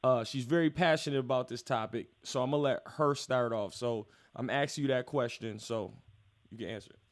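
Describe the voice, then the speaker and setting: A man's voice: medium pitch, brisk. Teasing, profane podcast host man. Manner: teasing, profane, playful interrupter. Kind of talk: casual podcast chat.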